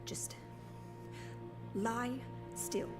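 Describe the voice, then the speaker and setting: An actress playing a determined, earnest young trainee healer in an audio drama. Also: female voice, extremely slow. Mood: focused. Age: young adult. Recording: loud music under the voice.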